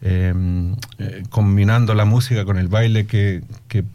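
A man's voice: fairly low pitch, fairly even intonation, a little slow, mellow, muffled recording. A middle-aged man with a Chilean accent touched by Australian English, speaking reflectively in an interview, searching for words and slipping English into his Spanish. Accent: Chilean Spanish with Australian English influence. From Australia, Chilean immigrant family. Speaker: musician and music educator being interviewed.

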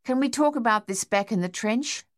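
A woman reading the part of a young soldier under fire. He talks in a gruff, urgent and coaxing way, in a raised voice above the reader's usual pitch. It comes across as an audiobook war scene read aloud. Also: animated, normal speed, no echo, studio quality, lightly acted. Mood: gentle.

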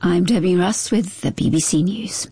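Female bulletin reader, mellow, dry booth sound, even delivery. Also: on the low side, moderate pace, no echo. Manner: crisp, even bulletin reading. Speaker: newsreader. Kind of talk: scripted news bulletin.

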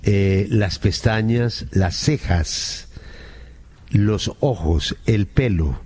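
A man's voice: lowish voice, extremely slow, a little mellow, breathy edge. A man hosting a casual radio show, putting short, teasing questions and remarks to his panel. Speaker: radio host.

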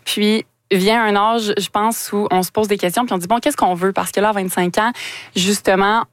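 A woman's voice: medium pitch, steady tone, moderate pace, dry recording. A 25-year-old woman, a guest on a radio panel, speaking reflectively with many fillers.

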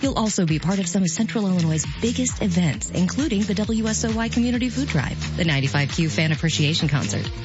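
A woman's voice: fairly low pitch, lively intonation, fast, few pauses. A woman reading a scripted radio commercial with an inviting sales tone. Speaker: ad announcer. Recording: lo-fi radio ad with music bed.

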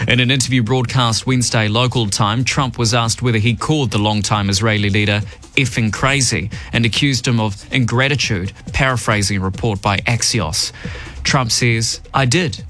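Opinionated New Zealand radio host. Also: male voice, medium pitch, moderate pace, a bit bright, soft background music. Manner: emphatic, opinionated, varied delivery. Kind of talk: opinion commentary and news reading.

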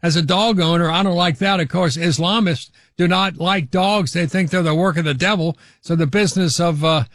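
Older guy hosting talk radio, highish voice, dead dry mic, keeps restarting his sentences. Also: fairly bright tone, dry recording. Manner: halting, restarts and fillers, opinionated. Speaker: radio talk show host. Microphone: studio microphone.

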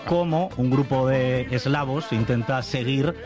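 Male radio reporter, medium pitch, conversational storytelling over a clear music bed. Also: measured pace. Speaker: radio international news reporter.

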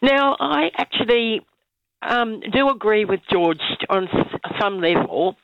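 NZ woman caller, croaky with a cold, stumbling and earnest, muffled phone sound. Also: medium pitch, expressive, no echo. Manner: halting, many restarts and fillers, earnest. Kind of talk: talkback radio call. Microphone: phone line.

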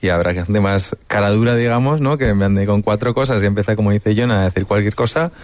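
A man's voice: fairly low pitch, fast talker. A man language teacher speaking reflectively on a panel. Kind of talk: panel discussion.

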